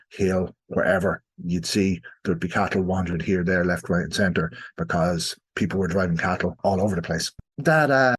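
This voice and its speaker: A man's voice: low voice, husky. Irish man explaining history in an interview. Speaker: historian guest.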